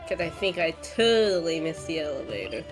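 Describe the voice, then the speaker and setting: A woman's voice: low-pitched, very animated, brisk. A woman live streamer casually commenting on a game with short murmured reactions and a giggly manner. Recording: game music behind the voice.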